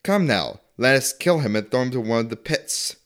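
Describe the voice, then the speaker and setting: A man's voice: medium pitch, animated, normal speed. Male scripture reader, mid-range voice, reading a Bible narrative.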